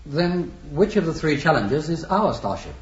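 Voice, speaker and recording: A thoughtful male space traveller with a raised, expressive voice. He speaks earnestly in an echoey old radio drama with dulled sound. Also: on the high side, normal speed, dull audio. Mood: inquiring. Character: thoughtful, inquisitive male starship crewman. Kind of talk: science fiction radio drama acting. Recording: echoey, band-limited old radio recording.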